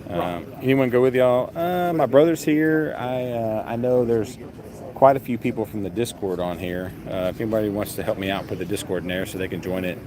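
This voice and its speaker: A low-pitched man talks loosely into his phone while standing in a long outdoor queue, stumbling through restarts and fillers and poking fun at himself. A dense crowd chatters around him the whole time. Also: fairly quick. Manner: rambling, self-deprecating, frequent fillers and restarts. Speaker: vlogger streaming live. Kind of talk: casual live stream commentary. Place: outdoors in a long festival queue near traffic.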